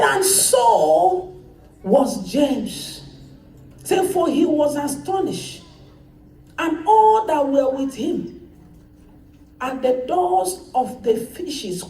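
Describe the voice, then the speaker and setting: A woman's voice: high-pitched, a little mellow. A woman pastor preaching a sermon, calling on the congregation to repeat after her and driving points home with repetition. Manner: preaching with call-and-response, emphatic repetition. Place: church hall. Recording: echoey church hall, voice from far off.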